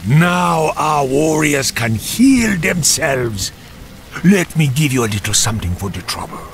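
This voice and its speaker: A man playing a wise, calm old troll shaman in a video game, with a Jamaican-style accent and a deliberate, mystical manner. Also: fairly high pitch, slight background noise. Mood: friendly. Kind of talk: video game cutscene acting. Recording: game music and rain behind.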